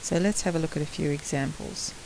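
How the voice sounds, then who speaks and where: A woman teacher with a croaky voice gives a patient maths lesson recorded at home on a laptop, clearing her throat now and then. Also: sits low, fairly even intonation, background noise. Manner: slow, patient explaining, with frequent clearing of the throat.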